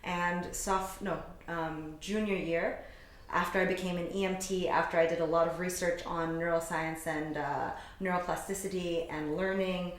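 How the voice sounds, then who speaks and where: A woman's voice: on the low side, steady tone, moderate pace. A young adult woman from the United States, a guest chatting with enthusiasm in a casual interview. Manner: upbeat, enthusiastic. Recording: echoey room.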